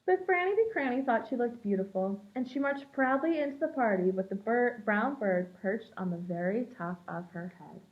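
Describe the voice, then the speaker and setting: A woman reading a children's picture book aloud in an expressive storytelling manner, as a preschool teacher does for young children. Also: fairly high pitch, normal speed, hardly any pauses. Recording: dull lo-fi sound.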